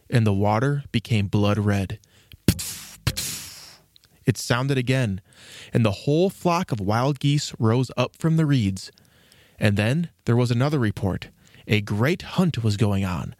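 A man's voice: mid-range voice, darkish tone, dry recording. Man narrating a storybook, dry sound.

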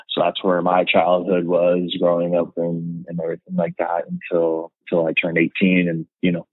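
A Canadian man in his thirties talks in a loose, rambling way, full of fillers. His voice sits very low. It comes through dry and tinny, like a phone call. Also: dry recording. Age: 30s. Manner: rambling, many fillers and laughs. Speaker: podcast guest. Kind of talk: casual chat.

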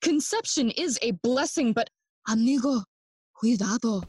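A woman playing a sardonic young prince who feigns madness in a Shakespearean audio play, speaking cryptically and deliberately. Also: on the high side, lively intonation, a little mellow, breathy edge. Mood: mocking, cryptic.

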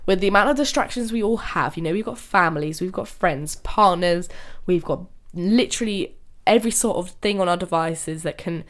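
Young female podcast host, slightly low-pitched, nasal from illness, casual, laughs easily. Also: moderate pace, few pauses. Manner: chatty, laughs often, trails off and restarts. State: ill, nasal-sounding. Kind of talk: casual solo podcast talk.

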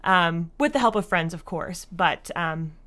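A woman's voice: on the low side, animated, a little slow, a bit bright. A woman answering as an interview guest in a conversational way, with hesitant, filler-heavy phrasing. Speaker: interview guest, audio drama creator.

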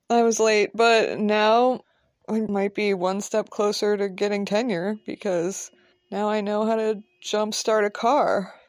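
A woman's voice: medium pitch, a little mellow, no room sound, close to an everyday voice. A woman voicing a mild, educated male college professor who speaks hesitantly with dry, precise wording in an audio drama.

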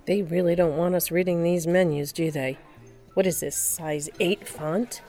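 A middle-aged wife and mother played by a woman with a distinctly low voice, wry and a little wounded. She narrates her own audio drama in a sardonic way over faint music. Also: steady tone, a little slow, mellow, lightly acted. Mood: indignant, dry. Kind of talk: first-person audio drama narration and dialogue.

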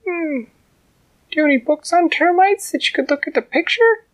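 A man voicing a tiny, brave female ant who talks high and earnest. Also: lively intonation, moderate pace. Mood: earnest pleading. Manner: shouts up high and earnest, pleading her case.

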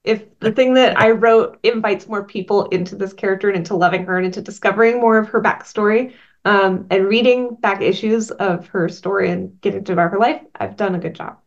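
A woman's voice: medium pitch, a little fast, muffled recording. A woman being interviewed as a comic writer, earnestly explaining her work at length with frequent ums. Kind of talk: thoughtful casual interview talk.

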